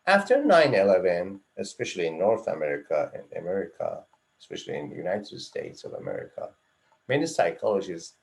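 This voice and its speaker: A man giving an online psychology lecture, repeating and rephrasing his points and listing items one after another, with emphatic rises and drops in pitch. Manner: repeats and rephrases phrases, lists items in runs. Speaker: psychology instructor.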